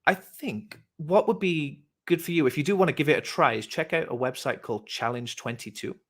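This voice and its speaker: A man's voice: fairly high pitch, fairly quick. A man from Wales with a British accent, talking casually and persuasively in a friendly, informal, encouraging way as a host. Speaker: host, vegan activist. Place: online voice chat.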